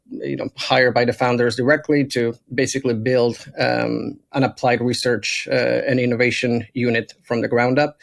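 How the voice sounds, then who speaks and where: Male guest, gravelly, measured and hesitant delivery. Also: mid-range voice. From Argentina. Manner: thoughtful, halting with frequent fillers. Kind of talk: casual conversational interview.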